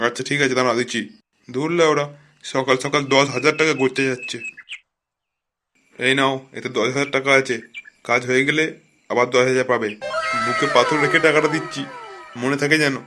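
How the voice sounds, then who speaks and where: A man voicing a gruff, foul-mouthed police officer in a comic cartoon, bossy and blunt. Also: medium pitch, fairly even intonation, fairly quick, long pauses, noisy background, natural voice. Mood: grudging, businesslike.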